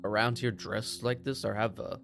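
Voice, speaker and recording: A man's voice: on the low side, steady tone, normal speed, gravelly, a bit bright, faint music behind. A man playing a tabletop game, chatting casually and sometimes groping for his words.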